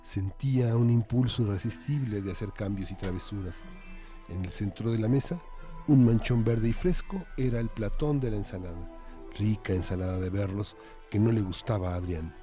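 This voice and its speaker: A man's voice: on the low side, moderate pace, mellow, soft background music. A man reading a story aloud expressively, with theatrical phrasing.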